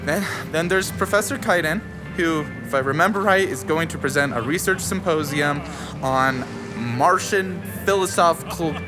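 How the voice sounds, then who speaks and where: A man's voice: fairly high pitch, moderate pace, music in the background, natural voice. An actor playing an anxious, awkward young male student in an audio drama, speaking hesitantly with stammers. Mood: worried, explaining. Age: young adult.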